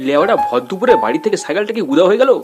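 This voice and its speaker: A man's voice: high-pitched, very animated, rapid-fire. A man voicing an irritable, scolding older father in a comic cartoon. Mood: exasperated, accusing. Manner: scolding, exasperated, blustering.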